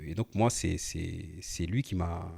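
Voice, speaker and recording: Deep-voiced man telling his story casually. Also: unhurried, creaky, breathy edge. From Portugal, raised in France. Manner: relaxed, familiar, frequent fillers and repeated "tu vois". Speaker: podcast co-host, entrepreneur.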